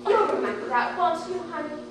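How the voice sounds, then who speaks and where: A woman's voice: very high voice, animated, fairly quick. A woman on stage playing a genteel, coaxing aunt with honeyed, theatrical, pleading manners. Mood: coaxing, instructing. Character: genteel, coaxing older Southern aunt drilling her niece in charm. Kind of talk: stage play acting. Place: theatre stage, recorded from far off. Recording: distant, echoey stage recording with loud noise.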